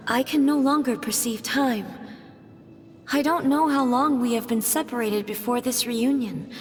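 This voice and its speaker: A woman voicing a calm, grave female goddess ruler in a video game, with a measured and hushed delivery. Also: on the high side, close to an everyday voice. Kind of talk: game voice acting.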